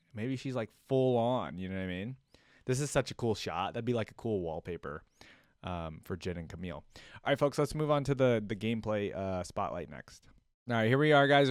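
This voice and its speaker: Man reacting casually, lively dry-sounding voice. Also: mid-range voice, no room sound. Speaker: reaction video host.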